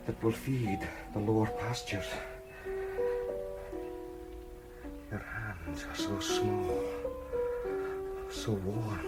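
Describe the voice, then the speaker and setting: Blustering, stammering husband. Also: male voice, on the low side. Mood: soft, tender. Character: stiff, awkward, well-meaning colonial husband, easily flustered. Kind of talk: audio drama acting.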